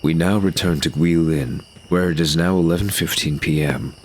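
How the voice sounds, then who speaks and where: A man's voice: low voice, moderate pace, a little hiss or hum. A man reading scripted narration for an audio drama, describing the action as narrator.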